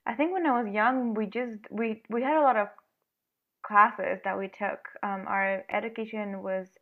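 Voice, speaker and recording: A young woman from Venezuela, a flute player, answering interview questions in a hesitant way with many pauses and ums. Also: mid-range voice, steady tone. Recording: phone-like narrow sound.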